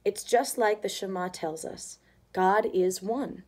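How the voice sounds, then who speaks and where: A woman reading a children's picture book aloud, voicing the characters' lines with lively expression. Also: mid-range voice, slow. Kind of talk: storybook read-aloud.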